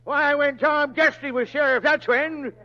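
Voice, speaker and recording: An actor playing a blustering old town drunk turned sheriff in an Old West radio play, with a rustic drawl. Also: male voice, extremely high pitch, steady tone, a little slow, full character voice. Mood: blustering, defiant. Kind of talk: 1940s radio acting. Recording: muffled old radio broadcast with loud audience.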